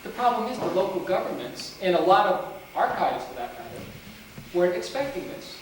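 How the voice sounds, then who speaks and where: A man's voice: high-pitched, very animated, fairly quick. A man with a mixed New England and North Country accent gives a rambling lecture on genealogy to a meeting, recorded from far off in a meeting room with a faint hiss. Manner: rambling, talking to the audience with many restarts. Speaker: genealogy speaker. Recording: roomy, distant, faint hiss.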